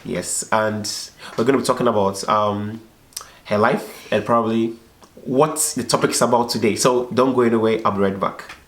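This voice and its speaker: A man's voice: fairly low pitch, fairly even intonation, moderate pace. A man with a slightly dried-up, tired voice, hosting a vlog in casual, informal and repetitive chat. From foreigner living in Belgium. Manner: repetitive, filler-heavy, informal. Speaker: vlog host. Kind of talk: casual chat to viewers and guest. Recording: echoey room sound.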